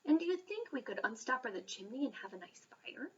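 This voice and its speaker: A woman's voice: high voice, big pitch swings, a little fast, slightly rough, dull audio, voice pushed up, heavily acted. A woman voicing a small, polite, apologetic but persistent male mouse in a children's storybook. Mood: polite wheedling. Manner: courteous, meek.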